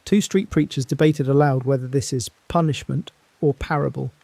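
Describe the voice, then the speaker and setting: An actor playing a somber male foreign correspondent reporting from abroad, in a hushed, somber manner. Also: mid-range voice, normal speed, gravelly, dry recording. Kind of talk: scripted dramatic news report.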